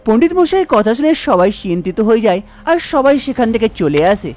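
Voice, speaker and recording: A woman's voice: a little high, a little mellow. A woman narrating a scripted cartoon folk story as its storyteller.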